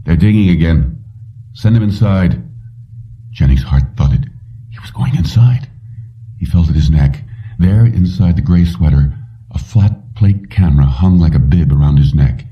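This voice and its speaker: Low-voiced man narrating, muffled. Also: lively intonation, moderate pace, distant mic. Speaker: audiobook narrator. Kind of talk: scripted narration of a suspense story.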